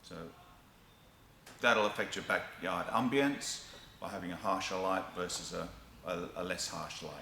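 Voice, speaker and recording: A man presenting a product demonstration in a deliberate, explanatory way with emphatic stresses. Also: low voice, creaky, sharp tone. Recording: echoey room, faint background noise.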